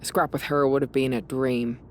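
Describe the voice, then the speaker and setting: A woman's voice: rumbling low, flat delivery, a little fast, a little mellow, a little hiss or hum. A woman playing a frank, spirited female wrestler in casual video game dialogue. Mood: wistful.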